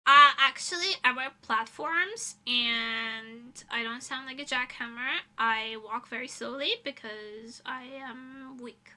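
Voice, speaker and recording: A woman's voice: on the high side, slow, few pauses, sharp tone. A young woman livestreamer chatting casually in an informal, self-deprecating, rambling way.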